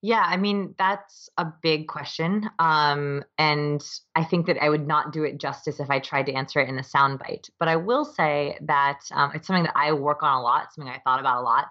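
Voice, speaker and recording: Female interview guest, low voice, explains things emphatically in a conversational way. Slightly muffled, lo-fi sound. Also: steady tone, normal speed, few pauses, bright, forceful tone. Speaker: interview guest, author and behavior researcher.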